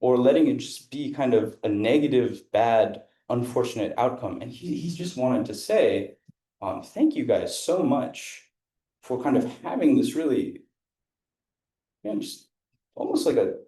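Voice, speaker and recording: Mellow-voiced man interpreting a dharma talk. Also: mid-range voice, lively intonation, a little slow, roomy sound, poor audio. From India. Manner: loose, rambling, many fillers and restarts. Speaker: interpreter for a Buddhist teacher. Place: event room with an audience.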